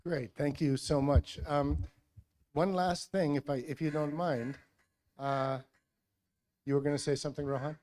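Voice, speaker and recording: A man hosting a school assembly, asking students brisk, encouraging follow-up questions on a handheld microphone. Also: fairly high pitch, fairly even intonation, darkish tone, slight background noise. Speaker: school assembly host and teacher.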